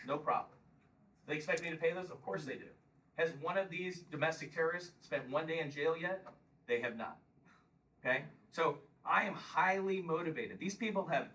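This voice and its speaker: Fifties guy, punchy campaign talk, kinda high voice, mic far off. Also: fairly bright tone. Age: 50s. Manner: combative, emphatic, repeats "Okay?". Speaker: congressional candidate. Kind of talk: campaign stump speech and Q&A.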